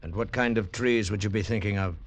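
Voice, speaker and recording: A man's voice: lowish voice, a little fast, no echo, close to an everyday voice. An actor playing a sly Boston man who mocks a stranger with teasing, mock-courteous talk in a 1940s radio play. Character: sly, mocking male Boston prankster posing as loyalist.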